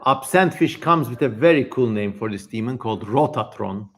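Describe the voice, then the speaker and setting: A man with a mid-pitched voice hosts a livestream. He talks in a loose, casual way and comments informally, swearing now and then. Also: expressive, normal speed, fairly bright tone, husky. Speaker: livestream host.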